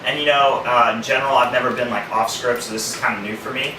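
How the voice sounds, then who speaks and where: A man's voice: mid-range voice, fairly even intonation, fast. A teenage boy co-hosting a podcast in casual, unscripted chat. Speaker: podcast co-host, tech video maker. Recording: echoey, distant mic, noisy.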